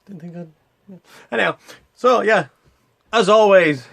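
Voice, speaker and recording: Rambling man with a slightly high voice. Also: a little slow, vocal fry, a bit bright. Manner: rambling, hesitant, self-interrupting. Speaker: host of a retro gaming video channel. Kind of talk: casual unscripted vlog chat.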